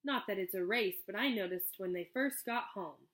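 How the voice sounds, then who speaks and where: A woman voicing a kind storybook mother, patient, encouraging and gently firm with her children. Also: mid-range voice, normal speed, close to an everyday voice. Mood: approving.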